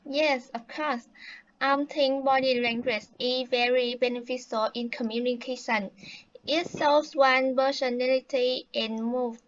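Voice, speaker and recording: A teenage girl with a Vietnamese accent acts out a polite guest on a talk show. Her voice sits high and moves slowly through rehearsed lines, with small hesitant fillers. The recording is dry and slightly muffled. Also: fairly even intonation. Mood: earnest. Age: late teens. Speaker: student playing a guest from Thailand. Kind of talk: scripted classroom role-play.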